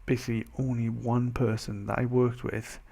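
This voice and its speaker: A young man with a mild Welsh accent, chatting casually as a vlogger in a rambling, animated, self-interrupting way. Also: medium pitch, moderate pace, creaky. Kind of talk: casual chat to camera.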